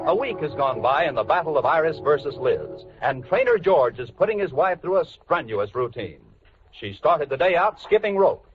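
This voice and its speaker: Male announcer, slightly high voice, mock-dramatic scripted narration, music behind, muffled old radio recording. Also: very animated, moderate pace.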